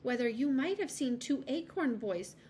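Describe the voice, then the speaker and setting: A woman voicing a small, timid girl who speaks gently and a little higher than the narration. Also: lively intonation, normal speed, slight background noise. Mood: nervous.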